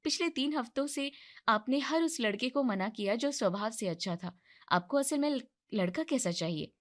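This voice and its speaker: A woman voicing a gentle, polite young daughter-in-law who reasons calmly in a cartoon moral story. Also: fairly high pitch, normal speed, close to an everyday voice.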